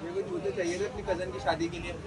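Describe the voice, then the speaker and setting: A man's voice: high voice, flat delivery, very fast. A man joking with friends in casual banter on a shopping trip. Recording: loud market crowd behind.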